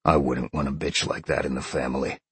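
A man's voice: very deep voice, flat delivery, fairly quick, a bit bright, husky, no echo, heavily acted. A man voicing a sly, flirtatious, irreverent female fox in an audiobook, teasing and grumbling. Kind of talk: audiobook character acting.

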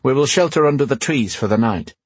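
Storybook warrior queen, played by a male narrator: high, husky, teasing, proud, quick to command. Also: expressive, dry recording. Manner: playful, teasing, regal when commanding.

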